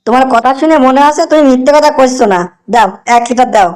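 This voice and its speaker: A woman's voice: high-pitched, fast talker, a little mellow, natural voice. A woman playing a suspicious but gullible market customer in a comic cartoon, querying and haggling. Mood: doubtful.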